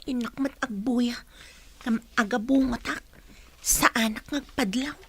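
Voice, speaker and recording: A woman's voice: on the high side, a little slow, breathy edge. A woman playing a bitter, envious schoolgirl in an audio drama, with a sulky, complaining delivery. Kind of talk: Ilocano audio drama acting.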